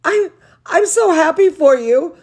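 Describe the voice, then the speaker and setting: A woman reading a children's storybook, voicing an earnest, dramatic little girl in a drawn-out, emphatic way. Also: very high-pitched, expressive, a little mellow, voice pushed up. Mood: confiding. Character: dramatic, earnest little girl narrator who loves dancing. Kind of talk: children's storybook read-aloud.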